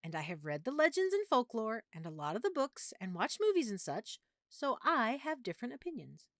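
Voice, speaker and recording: A woman playing an affectionate, nerdy, opinionated girlfriend in an intimate audio roleplay, talking in a playful, expressive, slightly fussy way. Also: fairly high pitch, fairly quick, no room sound, studio quality. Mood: animated, opinionated. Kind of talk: audio roleplay, intimate one-sided conversation.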